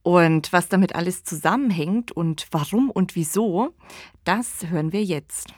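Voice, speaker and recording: A woman's voice: on the low side, measured pace, a little mellow, dry recording. A woman hosting a podcast, giving a conversational scripted introduction. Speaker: podcast host.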